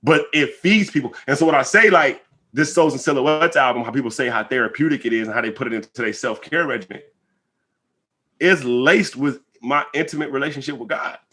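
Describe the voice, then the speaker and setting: Male interview guest, American, mid-pitched and bright-edged. Earnest, emphatic, conversational delivery, checking in with the listener. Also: a little fast. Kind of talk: reflective conversational interview answers.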